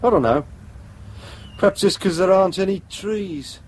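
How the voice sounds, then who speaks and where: Musing British constable, husky. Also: male voice, high voice, normal speed. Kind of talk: naturalistic radio drama acting.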